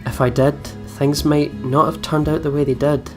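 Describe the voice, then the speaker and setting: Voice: mid-range voice, little pitch movement, normal speed. A let's player reading out visual novel narration and adding casual commentary. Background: soft guitar game music.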